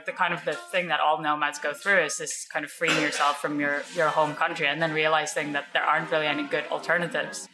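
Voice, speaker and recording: Low-voiced norwegian-born woman, founder guest, lots of uhs and restarts, music behind. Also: poor audio. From Norway. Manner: relaxed, many fillers and restarts. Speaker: startup founder, podcast guest. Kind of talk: casual interview talk.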